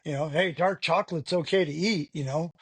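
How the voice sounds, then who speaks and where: A man's voice: on the high side, a little fast, slightly rough, dry recording. A man talking frankly as an interview guest, rambling with lots of "you know" fillers. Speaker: podcast guest. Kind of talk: candid conversational interview.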